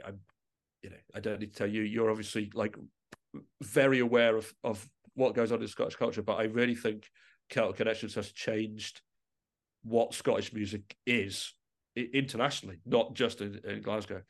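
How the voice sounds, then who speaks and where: Informal, enthusiastic man in conversation. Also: mid-range voice. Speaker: guest, musician and composer. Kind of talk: casual podcast interview chat.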